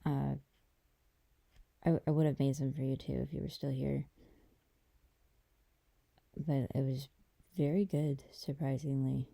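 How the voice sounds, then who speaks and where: A woman playing a gentle, affectionate girlfriend in an intimate ASMR roleplay, talking softly and hesitantly to a partner. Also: flat delivery, heavily acted. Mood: relaxed, affectionate. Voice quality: dark, creaky low voice. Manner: soft, hesitant, unhurried conversational delivery.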